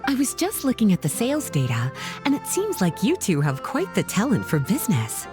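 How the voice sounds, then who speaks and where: Game mentor, adult woman, playful and self-assured, mid-range voice with lively, teasing inflection. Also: medium pitch, brisk, music in the background. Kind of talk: video game cutscene voice acting.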